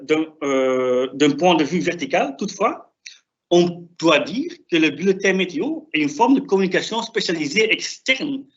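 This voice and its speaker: A young man who is not a native French speaker, with a non-native accent, giving a halting, didactic academic presentation over a video call. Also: fairly high pitch, fairly bright tone. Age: young adult. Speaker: doctoral researcher presenting. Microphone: video call, presenting remotely. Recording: dull, band-limited online call audio.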